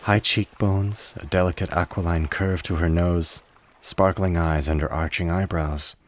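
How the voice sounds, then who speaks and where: A man narrating a scripted drama in a measured storytelling manner. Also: deep voice, steady tone.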